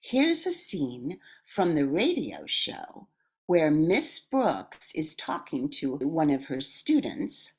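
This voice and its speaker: A woman's voice: low voice, very animated, slow, breathy edge. An older woman reading a scripted personal reminiscence as a member of a radio show cast.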